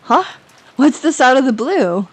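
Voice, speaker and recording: A woman's voice: sits high, very animated, a little slow, husky, pitched above the performer's own voice, exaggerated voice. A woman voicing a kind, friendly schoolgirl who stammers when she is flustered. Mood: light. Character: cheerful kind schoolgirl, rattled and confused.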